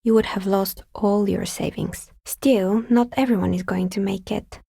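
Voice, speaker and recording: An actress playing a wry, pragmatic woman dicemaker in a video game, speaking in a measured, matter-of-fact way with a husky voice. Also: mid-range voice, fairly even intonation, dark tone, no room sound, clean studio sound. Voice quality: husky, breathy with some creak. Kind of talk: video game character dialogue.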